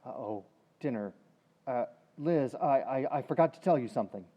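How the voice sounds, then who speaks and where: A man's voice: medium pitch, animated, normal speed, warm tone, natural voice. A man playing a sheepish, mild-mannered husband in old-time radio comedy, hesitant and placating. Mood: sheepish, pleading. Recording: muffled, reverberant hall recording.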